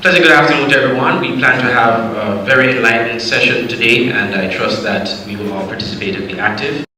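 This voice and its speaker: A man opens a session with a courteous greeting and a light, joking touch. His voice has a bright edge. It echoes strongly through the hall over faint noise. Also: medium pitch, fast talker. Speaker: session moderator. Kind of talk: formal greeting.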